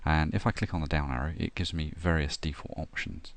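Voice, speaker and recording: Guy, really deep low voice, walking through a computer setup, lots of uhs. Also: a little fast, no room sound. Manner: steady step-by-step explaining with frequent "uh" and "um". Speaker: software tutorial presenter. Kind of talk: screen-recorded tutorial narration.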